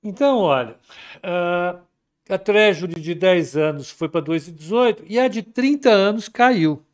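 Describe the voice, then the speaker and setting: A man talks through the day's market numbers in a loose, chatty way, reading viewer comments aloud as he goes. His voice sits a little high for a man. He takes his time and never hurries. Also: expressive, unhurried. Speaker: market commentary livestream host. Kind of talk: casual live market commentary.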